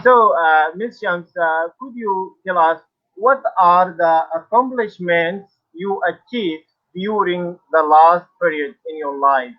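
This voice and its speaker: A man from Sudan hosting an online interview, speaking formally and haltingly with many fillers. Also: sits high. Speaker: interview host. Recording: dull lo-fi audio.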